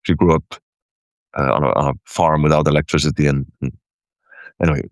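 A man's voice: very deep voice, fairly even intonation, brisk, dry recording. A man from Iceland, a guest in a conversation, speaking hesitantly and repeating words as he restarts his sentences. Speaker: caller, guest. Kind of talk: casual personal conversation.

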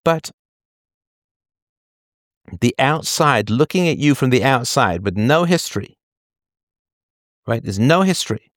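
A man's voice: mid-range voice, lively intonation, unhurried, long pauses, no echo, clean studio sound. A man in the role of an advice host, talking conversationally and explaining emphatically with analogies, often checking that the listener follows. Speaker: call-in show host giving advice.

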